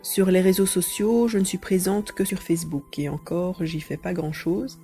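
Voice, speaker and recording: A woman's voice: fairly low pitch, steady tone, normal speed, warm tone, soft background music. A woman answering questions casually as an interview guest.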